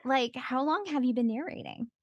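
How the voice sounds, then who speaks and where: A woman hosting a casual interview podcast, chatty and quick to laugh, talking as a fellow audiobook narrator. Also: high-pitched, animated, muffled recording. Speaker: podcast host.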